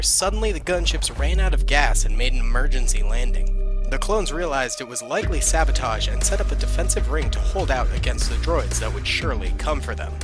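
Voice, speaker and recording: A man reading a scripted war story as narrator, in a steady storybook manner. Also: mid-range voice.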